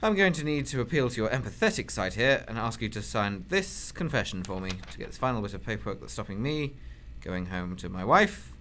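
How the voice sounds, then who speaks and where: An actor playing a weary police detective who interrogates a suspect in a blunt, sardonic way, in an amateur radio drama. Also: male voice, on the low side, lively intonation, fairly quick, hardly any pauses, fairly bright tone, a little hiss or hum. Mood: pressing.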